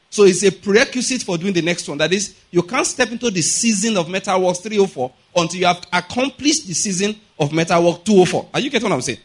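A man's voice: high voice, fairly bright tone. A middle-aged man preaching a sermon, emphatic and often checking that listeners follow. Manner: emphatic, keeps asking "are you getting my point?".

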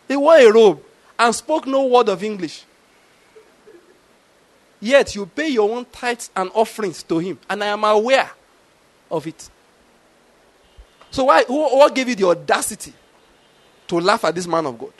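High-voiced preacher man. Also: expressive, a little slow, long pauses. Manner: storytelling with rhetorical questions. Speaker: pastor. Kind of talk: sermon.

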